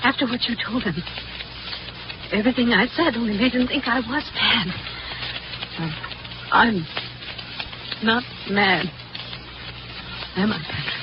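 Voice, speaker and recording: A woman's voice: fairly high pitch, natural voice. Woman acting a wounded wife on noisy old radio. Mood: anguished, accusing. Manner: deliberate, dramatic, broken phrases. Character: wounded, loving wife, fearful yet resolved. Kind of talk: 1940s radio suspense acting. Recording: muffled, very noisy 1940s radio recording.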